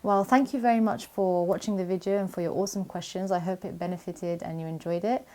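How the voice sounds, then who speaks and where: Woman, lowish pitch, calm, encouraging, Q&A teaching video, steady explanations. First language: not Arabic. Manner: calm, encouraging, measured explanations with Arabic religious phrases. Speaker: Quran memorization teacher answering viewer questions. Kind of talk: Q&A video talk to camera.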